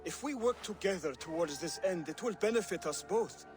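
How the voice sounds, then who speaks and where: A man playing an earnest, devout young assassin scholar in a video game, speaking in a measured, formal, instructive way. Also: high-pitched, animated, over music. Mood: grave, persuasive. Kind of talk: video game cutscene acting.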